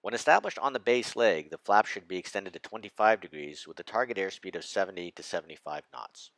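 A man, a flight instructor, narrating a lesson on approach and landing in a measured, precise way. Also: sits low, expressive, fairly bright tone, no echo. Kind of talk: scripted narration over slides.

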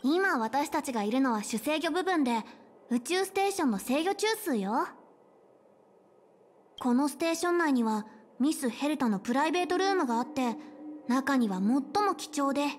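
A woman voicing a young, polite and caring space station chief in a Japanese game, speaking in a measured, articulate way. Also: sits high, lively intonation, slight background noise. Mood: composed, explanatory. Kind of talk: Japanese game voice acting, explanatory dialogue. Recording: game dialogue over background music.

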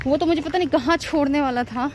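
A woman's voice: high voice, fast, darkish tone. A young woman with an Indian accent, chatting casually to camera in a vlog as a startup founder, mixing Hindi and English. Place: outdoor garden cafe. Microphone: phone camera held by hand. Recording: outdoor phone audio with background noise.

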